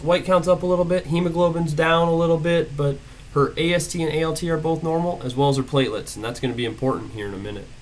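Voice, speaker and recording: A young man with a head cold walks through a medical case, brisk and methodical, ticking off findings in short phrases. His pitch sits in an ordinary middle range. There is a faint hiss of noise behind him. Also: poor audio. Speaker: emergency medicine presenter. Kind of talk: case-based medical teaching.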